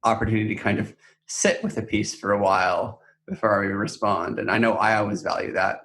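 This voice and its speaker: A man co-hosting a podcast, speaking conversationally in a thoughtful, measured manner. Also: fairly low pitch, level tone, a bit bright. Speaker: podcast co-host. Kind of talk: conversational podcast talk about a theater project.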